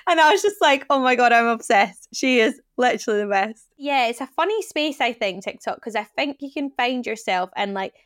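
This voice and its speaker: Brief: British woman co-host, fairly high voice, rambling and casual. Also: animated, fairly quick, few pauses, a bit bright, no echo, clean studio sound. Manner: rambling, full of fillers. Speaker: podcast co-host. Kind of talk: casual chat.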